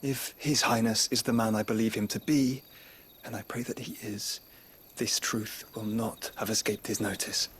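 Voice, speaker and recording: A man's voice: fairly low pitch, steady tone, moderate pace, breathy edge. A man playing a weary, ailing, philosophical duke in a game cutscene, speaking in a formal, eloquent way. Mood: grave. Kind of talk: dramatic video game cutscene acting.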